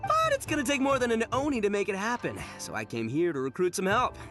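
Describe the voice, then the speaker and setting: Brash, bright, high-pitched oni gang boss. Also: male voice, wildly swooping pitch, fast talker, soft background music, full character voice. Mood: scheming, boastful. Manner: swaggering, slangy, theatrical. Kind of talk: anime game dub acting.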